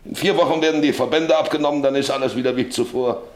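An actor playing a middle-aged farmer and father, troubled and defensive, with a halting, brooding delivery in a German radio play. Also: mid-range voice, steady tone, a little mellow, natural voice. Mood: defensive, firm. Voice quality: gravelly, husky.

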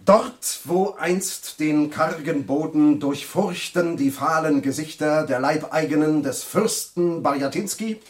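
Casting: male actor as self-important scribbler, rather high voice, boisterous and declamatory, radio play. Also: unhurried, hardly any pauses, natural voice. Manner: pompous, declamatory. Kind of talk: German radio drama acting.

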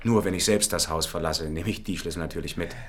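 An actor playing a shaken, earnest physician who explains himself a little hesitantly in a German radio drama. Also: male voice, sits low, fast. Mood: earnest, uneasy.

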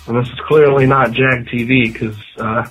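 A man's voice: mid-range voice, flat delivery, moderate pace, a bit bright, slightly rough, faint music behind, poor audio. A sick man with a nasal voice leaving a rambling, mocking voicemail. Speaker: voicemail caller.

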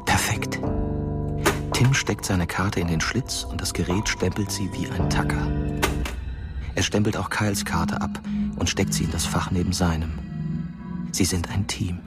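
An actor playing a sombre, observant narrator in a scripted radio drama. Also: male voice, deep voice, unhurried, long pauses. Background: music.

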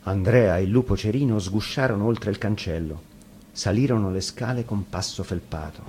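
Guy telling a fairy tale, lowish voice, dramatic reading, slight background noise. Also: normal speed, mellow. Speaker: storyteller. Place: radio studio.

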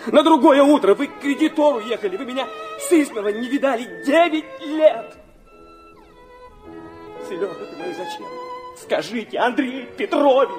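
A wounded young son in a radio play, his voice high and swinging widely. He speaks with halting fervour, repeating himself as he pours out old memories. Violin music plays strongly behind him. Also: lively intonation. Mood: bitter, impassioned. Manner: fervent, halting, breaking into repetitions. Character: wounded, impassioned young illegitimate son recalling childhood. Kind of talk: Russian radio drama acting.

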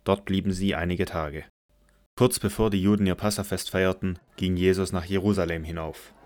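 A man narrating a scripture audio drama in a measured, solemn manner from a script. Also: sits low, fairly even intonation, a little mellow.

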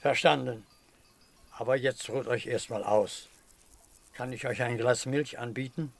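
A man's voice: mid-range voice, steady tone, a little slow, vocal fry. An actor playing an old shepherd in a radio crime drama, loyal and deferential to his master. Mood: deferential, reassuring. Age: older. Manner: deferential, obliging. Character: humble, loyal old shepherd serving a mafia boss.

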